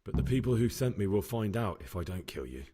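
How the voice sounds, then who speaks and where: A husky, blunt, wary bounty hunter. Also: male voice, lowish voice, sing-song, fairly quick, warm tone, no echo, studio quality. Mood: wary, terse. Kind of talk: dramatic game cutscene acting.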